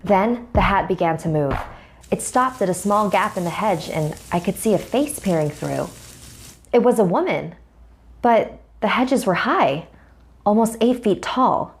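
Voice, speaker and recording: A woman's voice: mid-range voice, lively intonation, unhurried. A woman narrating a scripted first-person horror story as a storyteller. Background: music.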